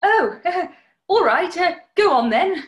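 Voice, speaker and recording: A woman plays an eccentric interviewee in a comic radio spot. The voice is very high and chatty, heard in a roomy, echoing space. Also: sing-song, a little slow, full character voice. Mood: prim, chatty. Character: chatty, eccentric member of public in radio vox pop. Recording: roomy echo.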